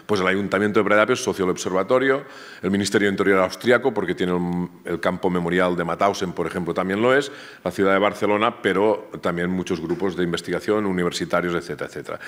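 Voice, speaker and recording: A man with a Catalan accent and a very low voice lectures at a seminar table in a university room. He speaks loosely, often stopping to repeat a word or restart a phrase. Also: fairly even intonation, fast. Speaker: academic speaker. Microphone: table microphone, far camera.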